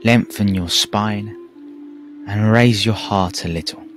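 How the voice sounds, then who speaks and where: Man, low voice, guided meditation teacher, calm and soothing, measured phrases with pauses, faint background music.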